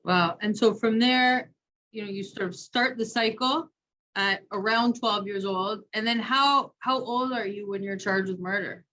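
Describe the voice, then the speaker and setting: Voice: mid-range voice, a little slow, pushed and bright, poor audio. An interview host who sums up the guest's points and asks follow-up questions.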